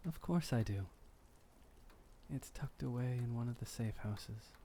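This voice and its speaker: A man playing a gentle, refined, centuries-old vampire boyfriend in intimate ASMR roleplay, soft-spoken and soothing with formal wording. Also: mid-range voice, measured pace, a little hiss or hum, close to an everyday voice. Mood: fond, reassuring.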